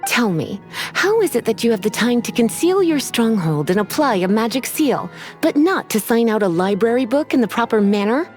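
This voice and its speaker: A woman voicing an elegant, languid witch librarian in a video game, coy and formal with quiet menace. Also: a little high, very animated, faint music behind. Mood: coolly threatening. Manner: coy, unhurried, formal wording with teasing sighs.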